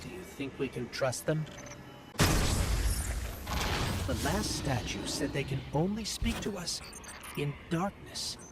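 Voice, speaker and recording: An actor playing a concerned comrade in a video game, briefing his team in a serious, measured way. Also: on the high side, lively intonation, over loud music, lightly acted. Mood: wary. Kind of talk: dramatic video game dialogue. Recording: clear ambient noise behind.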